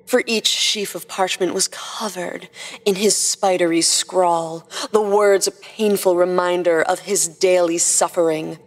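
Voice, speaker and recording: A woman host reading humorous fan fiction as scripted narration. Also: on the low side. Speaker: podcast host and story narrator. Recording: long reverb, music behind the voice.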